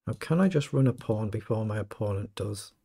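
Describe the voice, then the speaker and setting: A middle-aged man with a croaky voice, thinking aloud as he plays fast chess and comments on each move. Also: on the low side, lively intonation, mellow. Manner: thinking aloud, muttering over moves. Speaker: chess streamer. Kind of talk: live game commentary.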